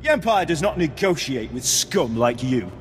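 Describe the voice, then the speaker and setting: Sci-fi game villain officer, played by a man: indignant, imperious, very animated delivery, prominent music behind. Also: medium pitch, moderate pace, natural voice. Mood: haughty. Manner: imperious, clipped, formal. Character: haughty, indignant male Imperial officer with a short temper. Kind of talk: video game voice acting. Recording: long hall reverb, music behind.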